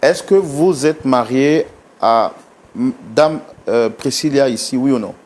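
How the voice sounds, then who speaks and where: A man's voice: medium pitch, measured pace, a little mellow. A man hosting a reconciliation talk show, probing his guests and pressing them for direct answers.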